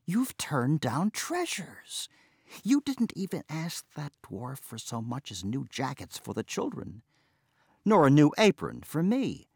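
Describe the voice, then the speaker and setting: A man's voice: lowish voice, very animated, slow pace, dark tone, husky, dry recording, full character voice. A man voicing an exasperated peasant wife who scolds her foolish husband in a storybook reading. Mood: scolding.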